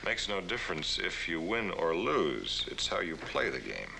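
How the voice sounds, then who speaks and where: Deep, gravelly, patient gentleman mentor. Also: huge pitch swings, normal speed, heavily acted. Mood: calm, instructive. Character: calm, patient, moralizing wealthy gentleman mentor. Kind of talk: 1960s television comedy acting. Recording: noisy old television soundtrack.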